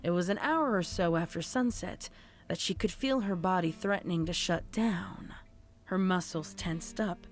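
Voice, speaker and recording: A woman reading scripted fiction as a storybook narrator. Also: on the low side. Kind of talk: scripted audiobook-style horror fan fiction narration.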